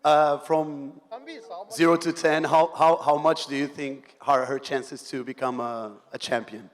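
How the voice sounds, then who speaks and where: A man from Portugal asking fighters a question from the floor, halting and repeating himself. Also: on the high side, little pitch movement. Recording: echoey event hall.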